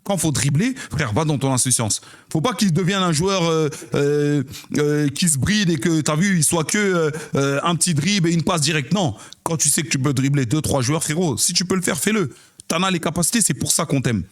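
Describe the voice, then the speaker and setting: A man's voice: fairly high pitch, moderate pace. A young man hosting a live football debrief, arguing in casual street slang and repeating himself to get the floor. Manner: insistent, repeats phrases to cut in; street slang, calls people brother. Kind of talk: heated casual debate on a live stream.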